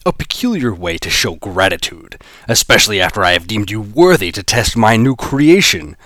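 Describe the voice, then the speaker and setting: A man's voice: lowish voice, very animated, normal speed, sharp tone, dry recording, studio quality. A man voicing a boastful, menacing alien villain with a grand manner. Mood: gloating.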